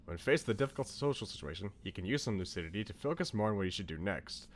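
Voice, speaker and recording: A man's voice: fairly low pitch, lively intonation, quick pace, a little hiss or hum. A man streaming a game casually, chatting and reading on-screen text aloud. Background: faint game music.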